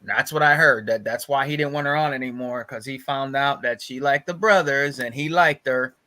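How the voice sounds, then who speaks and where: A man's voice: mid-range voice, normal speed, bright, forceful tone. A man chatting casually and telling stories as a podcast co-host, repeating phrases for emphasis and often saying bro. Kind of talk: casual chat, gossiping and storytelling.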